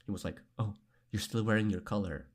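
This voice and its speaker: Earnest low-voiced man, chatty guest. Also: fairly even intonation, a little fast, slightly rough. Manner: earnest, reflective, laughs often, frequent fillers. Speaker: podcast guest. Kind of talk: candid conversational interview.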